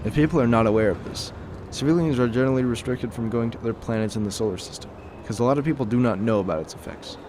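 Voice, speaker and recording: A man's voice: mid-range voice, quick pace, few pauses, lightly acted. A man playing a bitter, world-weary former soldier bent on revenge, brooding in an audio drama. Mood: sardonic. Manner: brooding, monologuing. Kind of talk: audio drama narration and dialogue. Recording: loud background noise, vehicle ambience.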